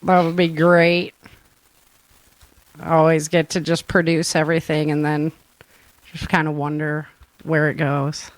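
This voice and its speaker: A woman's voice: sits low, level tone, unhurried, long pauses, no echo, low-quality recording. A woman hosting a casual live chat show, talking informally with plenty of everyday slang. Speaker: show host and producer.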